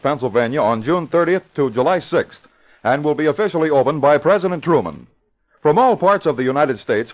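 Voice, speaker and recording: A man reading a scripted 1950s radio public-service announcement in a formal, earnest manner. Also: mid-range voice. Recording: dull old radio broadcast recording.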